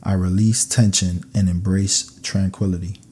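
A man narrating scripted sleep meditation affirmations in a calm, soothing, measured way. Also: sits low, slight background noise.

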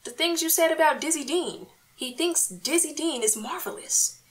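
Woman acting earnest, higher-voiced radio housewife. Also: normal speed, close to an everyday voice. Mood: exasperated. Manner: folksy, plaintive, coaxing. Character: earnest, peacemaking housewife and mother, no longer young. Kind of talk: old-time radio comedy acting.

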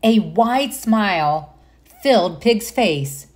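A woman reading a children's picture book aloud as a storyteller, pausing to comment and put questions to young listeners. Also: medium pitch, expressive, darkish tone.

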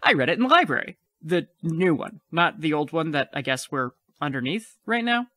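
A man's voice: a little high, big pitch swings, normal speed, pushed and bright, no echo, clean studio sound. A man playing a frightened but defiant, clever child in an audio play. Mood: earnest explaining.

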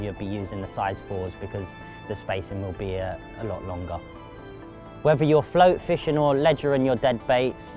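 A man's voice: medium pitch, darkish tone. A young man giving plain-spoken, matter-of-fact instructional talk to camera as an angler, recorded outdoors. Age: young adult. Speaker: angler presenting a tackle tutorial. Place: outdoors by a riverside path. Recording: music behind the voice. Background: music.

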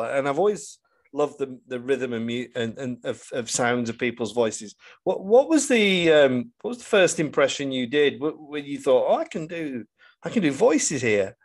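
A man hosting a conversational podcast interview, asking questions in a halting way with frequent restarts. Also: on the high side. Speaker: podcast host.

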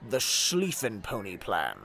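Man as bright-voiced scheming cartoon pony strategist. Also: lowish voice, huge pitch swings, creaky, breathy edge, heavily acted. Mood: confident planning. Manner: deliberate, authoritative explaining. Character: bossy, scheming young pony war strategist. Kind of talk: parody cartoon dub acting.

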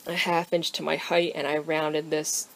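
A woman talking through a cabinet build in her wood workshop in a relaxed, casual how-to style, thinking aloud with frequent ums. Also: low-pitched, normal speed, low-quality recording. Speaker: woodworker explaining a build. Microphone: camera pointing down at the workbench, speaker off-screen.

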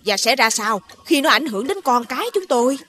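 A woman voicing a haughty, hostile village matron in an animated folktale, with an accusing, imperious way of talking. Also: a little high, fairly quick, faint music behind. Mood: haughty, demanding.

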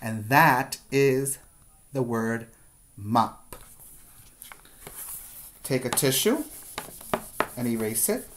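A middle-aged man giving a preschool lesson as a teacher, with deliberate, repetitive step-by-step instructions, recorded indoors on a webcam. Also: mid-range voice, noisy background. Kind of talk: instructional video lesson for young children. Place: small room indoors. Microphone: webcam at desk distance.

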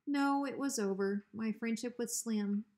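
A woman's voice: fairly high pitch, unhurried, a little mellow. A woman narrating a children's storybook expressively, as when reading aloud to pupils. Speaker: school principal reading aloud.

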